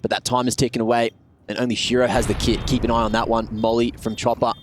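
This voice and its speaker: A man's voice: medium pitch, level tone, fast talker, noisy background. A man calling esports play-by-play who turns playful when he riffs on jokes. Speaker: esports commentator.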